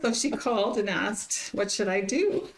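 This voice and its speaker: A woman's voice: mid-range voice, animated, normal speed, roomy sound, very noisy. An older woman, a grandmother and guest, telling family stories with frequent laughter. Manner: warm storytelling, laughs often. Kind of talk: casual chat.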